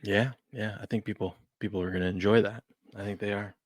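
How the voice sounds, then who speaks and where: A man in his thirties hosting a live sports betting show, chatting casually and swinging between mumbles and loud hype. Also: lowish voice, steady tone, brisk, no echo, studio quality. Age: 30s. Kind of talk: casual live-stream chat.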